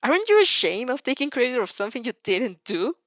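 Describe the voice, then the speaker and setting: A woman's voice: on the high side, very animated, fast, dry recording, voice pushed up. A cheeky yet polite teenage heroine, voiced by a woman for an audio story. She stays composed with a teasing edge. The recording is dry and slightly muffled. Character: calm, cheeky, polite sixteen-year-old girl hero student.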